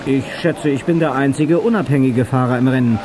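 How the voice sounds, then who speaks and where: An actor playing a confident, daring young race driver in an audio drama, on a noisy old cassette. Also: male voice, mid-range voice, a little fast, natural voice. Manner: self-assured.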